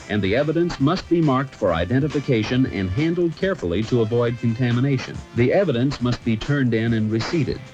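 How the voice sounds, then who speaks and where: An American man narrates an instructional military film in a formal, measured, authoritative way. His pitch sits in the middle range. The sound is a dull 1970s film track with clear music underneath. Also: a little mellow.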